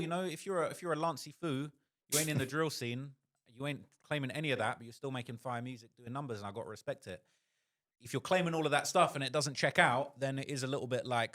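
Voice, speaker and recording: Fast-talking British man. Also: medium pitch, a bit bright. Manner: earnest, slangy. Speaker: podcast interviewer. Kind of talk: casual podcast conversation.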